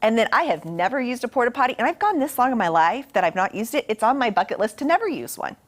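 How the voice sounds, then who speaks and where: A woman's voice: fairly high pitch, sing-song, quick pace. A woman who works as a TV meteorologist, chatting casually and playfully on a morning show.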